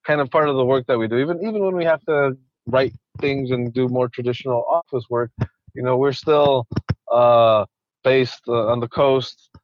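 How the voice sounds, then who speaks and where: A man's voice: mid-range voice, moderate pace, no echo, dull audio. A man from Ecuador, a conservationist guest, talking casually in an interview with many restarts and repeated words.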